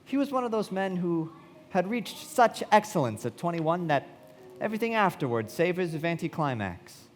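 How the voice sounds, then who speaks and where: Casting: reflective young bond man narrating a radio play, lively higher voice, reverberant hall. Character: reflective well-bred young bond salesman narrating his memories. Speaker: lead actor and narrator. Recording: echoey hall, live stage.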